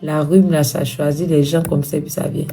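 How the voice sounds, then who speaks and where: A woman's voice: low-pitched, level tone, normal speed, over music. A woman hosting a casual live stream, stuffy-nosed from a cold, chatty and teasing as she asks viewers for gifts.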